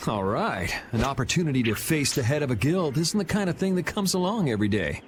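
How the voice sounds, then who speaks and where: Cool, lively young American swordsman. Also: a little high, fairly quick, slight background noise. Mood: cool, casual. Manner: laid-back, dry. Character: cool, confident, cheeky young male swordsman. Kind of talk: video game voice acting.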